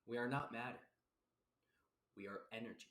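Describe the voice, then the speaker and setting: A life coach from South Florida muses aloud in a loose, emphatic podcast monologue, circling back on his points and dropping the occasional curse. His voice is bright and forward at a middling pitch. The room around him rings with a noticeable echo. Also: moderate pace. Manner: emphatic and repetitive, casual swearing, many fillers. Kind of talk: casual philosophical podcast monologue. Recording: roomy echo.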